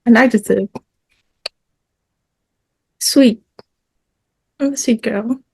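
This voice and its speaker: A woman's voice: on the high side, drawn-out words, creaky, darkish tone, slightly rough. A woman from Ghana on a podcast panel, answering playfully and briefly. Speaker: podcast guest. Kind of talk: casual panel chat.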